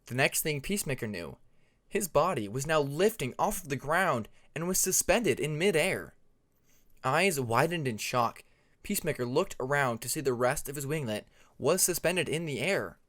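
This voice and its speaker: A man's voice: mid-range voice, fairly bright tone. A man reading a fantasy story aloud as an audiobook narrator, in a scripted narration style. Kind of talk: scripted fan fiction narration.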